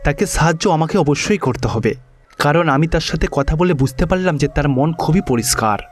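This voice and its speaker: A man playing a calm, benevolent male god in a cartoon story, speaking in a measured, assuring way. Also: a little high, natural voice. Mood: thoughtful.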